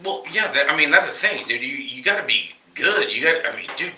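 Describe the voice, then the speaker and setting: A man with a very bright, cutting voice chats loosely and profanely as a livestream co-host, sounding a little nasal from a cold. He is recorded in an echoey room and drifts off the mic now and then. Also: fairly high pitch, expressive, a little fast, distant mic, slight background noise. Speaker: podcast co-host. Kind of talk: casual livestream chat. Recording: roomy echo, off-mic at times.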